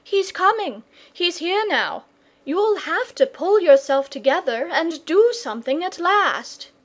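A woman voicing an eager, excitable young boy who speaks with urgent enthusiasm. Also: high-pitched, measured pace. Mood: excited.

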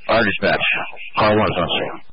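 A man's voice: on the low side, fairly even intonation, moderate pace, husky, dry recording. A man reporting from a fire scene as an officer, speaking over a two-way radio. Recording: dull band-limited radio scanner feed.

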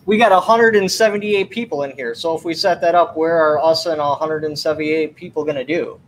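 A man's voice: a little high, a little fast, a little hiss or hum, rough recording. A man in his thirties hosting a livestream debate over a webcam call, pressing his guest with pointed questions. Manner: probing, keeps asking pointed questions. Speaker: livestream host. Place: small room at home.

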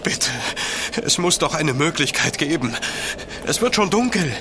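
A man's voice: fairly high pitch, very animated, unhurried, very noisy. An actor playing a polite, exhausted young male traveller who pleads earnestly in an audio drama. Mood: urgent pleading. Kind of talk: German audio drama acting.

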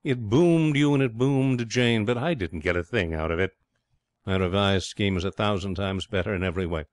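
A man's voice: fairly low pitch, normal speed, no echo, lightly acted. A man voicing a breezy, self-satisfied young schemer in a comic story, florid and cajoling with cheerful pomposity. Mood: grandly enthusiastic. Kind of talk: storybook character voice in comic fiction reading.

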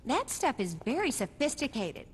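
High, chirpy suburban mom. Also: female voice, sing-song, normal speed, long reverb tail, slight background noise. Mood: cheerful, sweet. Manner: cheery, sugary, prim. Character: chirpy, sweet suburban middle-aged mom drug expert. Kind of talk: video game cutscene acting.

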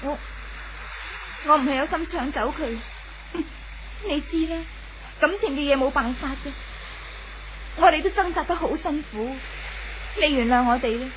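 An actress plays a devoted, conciliatory young sweetheart in an old radio play. She speaks high and earnestly in Hong Kong Cantonese. Clear background noise marks the recording. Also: normal speed, pauses often, lightly acted. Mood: pleading. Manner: soft-hearted, pleading, earnest. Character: gentle, devoted young woman in love, conciliatory. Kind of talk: 1970s Cantonese radio drama acting.

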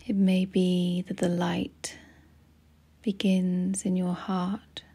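A woman narrating a guided meditation in a soft, breathy, near-whispered voice, gentle and soothing, with long pauses. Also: lowish voice, monotone.

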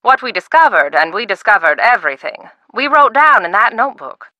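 Brief: sly older widow, game character, bright lively delivery, dry close sound. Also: female voice, mid-range voice, a little fast, no echo, poor audio. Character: eccentric widowed older woman, secretive. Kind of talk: video game voice acting.

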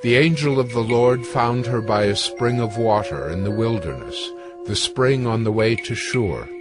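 A man narrates scripture in a measured, formal way. His voice sits at a medium pitch. Music is clearly audible underneath. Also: lively intonation.

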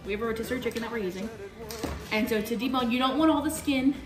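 Young southern US woman doing a casual cooking demo, highish voice, music really loud behind her. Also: fast talker. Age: 20s. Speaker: home cook presenting a recipe. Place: home kitchen, indoors.

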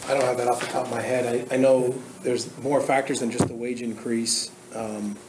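A man's voice: mid-range voice, level tone, normal speed. A man giving a plain explanation as an official at a meeting. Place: indoor meeting room. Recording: clear background room noise.

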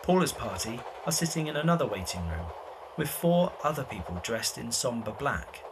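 A narrator reading scripted stage directions for an audio drama in a measured, descriptive way. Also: mid-range voice, very animated, background noise.

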